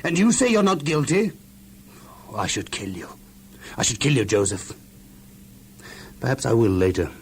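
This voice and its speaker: A man's voice: mid-range voice, sing-song, moderate pace, long pauses, slight background noise, close to an everyday voice. A man playing an outraged, commanding captain and wronged husband in a children's audio Bible drama, accusing and authoritative. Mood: angry.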